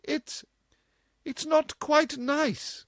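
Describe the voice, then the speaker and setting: A man reading aloud and voicing a gentle, prim mother who speaks hesitantly and with worry. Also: very high voice, fairly even intonation, dry recording, clean studio sound, pitched above the performer's own voice, full character voice. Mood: prim worried. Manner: prim, hesitant, slow and anxious.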